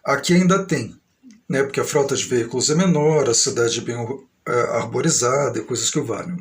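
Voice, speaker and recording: A man's voice: mid-range voice, a little fast. A middle-aged man from Brazil with a São Paulo accent, talking in a grandiose, aggrieved monologue that meanders at an uneven pace. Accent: São Paulo Brazilian Portuguese. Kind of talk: rambling monologue to camera.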